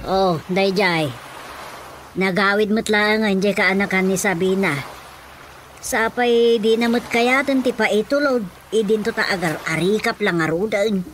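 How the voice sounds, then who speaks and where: A woman's voice: medium pitch, a little slow, a bit bright, a little hiss or hum, natural voice. A woman playing a fussing middle-aged village mother in a radio drama comedy, nagging and commenting.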